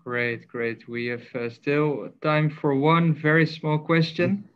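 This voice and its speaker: A man moderating an online panel session in a friendly, brisk way, stumbling a little over names. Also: on the high side, fairly even intonation, clear, resonant tone. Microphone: video call. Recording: muffled lo-fi call audio.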